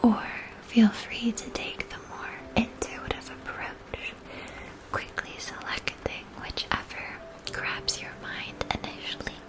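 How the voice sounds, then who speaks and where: A woman whispering gently and unhurriedly, narrating a scripted ASMR fantasy roleplay in a breathy, unvoiced voice. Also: slow pace, rough recording.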